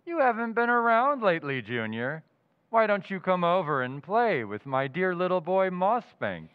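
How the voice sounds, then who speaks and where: Genteel, morbid undertaker for radio comedy: smooth, unhurried, sweeping intonation. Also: male voice, high-pitched, sing-song, no room sound, narrow, tinny audio. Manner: grandiose, drawn-out, funereal delivery. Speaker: radio drama actor. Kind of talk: old-time radio comedy acting.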